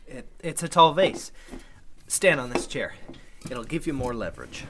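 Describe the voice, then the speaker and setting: A man's voice: mid-range voice, sing-song, normal speed, slight background noise, close to an everyday voice. An actor playing a suspicious, take-charge man in a golden-age style radio mystery, with an urgent, earnest delivery. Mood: urgent, puzzled.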